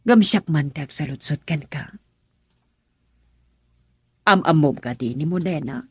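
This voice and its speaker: Scolding middle-aged mother, Ilocano accent, radio drama, low husky voice, very expressive pitch. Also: unhurried, no echo, clean studio sound, exaggerated voice. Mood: questioning sternly. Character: older mother, stern, scolding, worried over her son.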